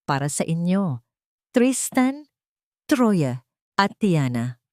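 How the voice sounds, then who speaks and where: A woman's voice: on the low side, sing-song, slow, warm tone, dry recording, studio quality. A woman with a Filipino accent voicing a poor, determined young mother in a radio drama, earnest and pleading. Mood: tender resolve. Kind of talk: Tagalog radio drama storytelling.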